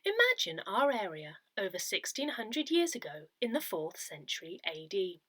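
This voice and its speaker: Woman reading with very lively intonation. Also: mid-range voice, moderate pace, no room sound. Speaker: reader of an audio parish newsletter. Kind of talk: scripted narration, read-aloud articles.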